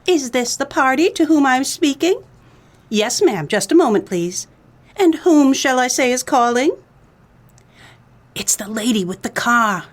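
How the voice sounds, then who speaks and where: A woman's voice: sits high, expressive, unhurried, pauses often. A woman playing a dim-witted, doting secretary to a 1940s detective in a comedic noir radio play. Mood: chirpy, clueless.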